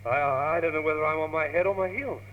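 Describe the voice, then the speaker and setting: A man's voice: a little high, quick pace, natural voice. Stammering lovestruck young British man , acted out. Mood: smitten. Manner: stammering, earnest, gushing. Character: lovestruck, naive, eager young gentleman, David. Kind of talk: old British radio comedy acting. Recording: tinny, hissy, humming old radio tape, reverberant.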